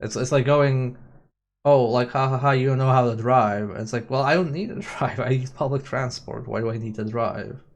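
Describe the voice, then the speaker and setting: A man's voice: medium pitch, normal speed. A man streaming a game with casual, opinionated and rambling chat. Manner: opinionated, rambling, mimics others mockingly, swears. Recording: game music behind the voice. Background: music from a racing game.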